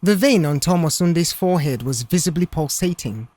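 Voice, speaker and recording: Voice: mid-range voice, lively intonation, moderate pace, darkish tone, dry recording. An audiobook narrator reading a scripted story in a dramatic storytelling style.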